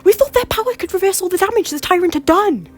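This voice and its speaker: A woman's voice: extremely high pitch, lively intonation, fast, faint music behind. A woman voicing an anxious, driven young woman in medieval Scotland, speaking urgently and pleadingly. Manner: urgent and pleading, with sudden loud outbursts. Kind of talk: amateur audio drama acting.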